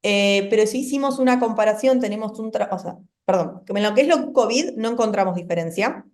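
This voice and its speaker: A woman's voice: mid-range voice, a little fast, darkish tone. Argentine woman answering with many fillers. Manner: thoughtful, many fillers and restarts. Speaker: rheumatologist answering questions. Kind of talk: answering questions in an online medical seminar. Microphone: video call.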